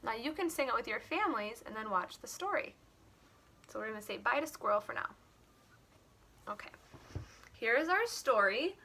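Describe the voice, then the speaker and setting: A woman reading a story aloud to young children, expressive, with simple explanatory asides. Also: a little high. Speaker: children's teacher and story reader.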